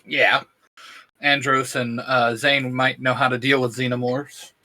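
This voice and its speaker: A man co-hosting a casual podcast chat, excitable and rambling, laughing often. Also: medium pitch, measured pace. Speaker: co-host.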